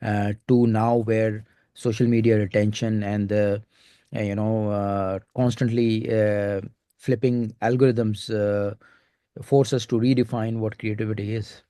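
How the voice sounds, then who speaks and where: A man with an Indian accent hosting a recorded interview over a remote call, talking in halting stretches full of filler sounds. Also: fairly low pitch, level tone, warm tone, clean tone, no room sound, studio quality. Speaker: host.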